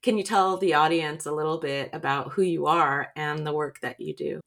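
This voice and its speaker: A woman's voice: low-pitched, steady tone, moderate pace, a bit bright. A woman hosting a podcast, introducing guests and asking questions in an enthusiastic, gushing way. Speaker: podcast host, medical coordinator. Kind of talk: scripted intro then interview questions.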